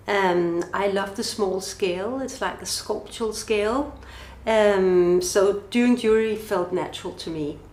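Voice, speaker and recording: A woman's voice: mid-range voice, a little mellow. Danish-accented woman in a roomy space. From Denmark. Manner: thoughtful, lists questions, some restarts. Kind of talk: podcast interview answers. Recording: roomy echo.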